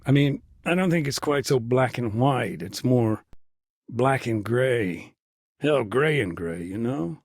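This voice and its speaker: An actor playing a weary aid worker in a game cutscene, reflective and wry, talking in a world-weary way. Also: male voice, mid-range voice, animated, slow, a little mellow, no room sound. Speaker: aid worker being interviewed.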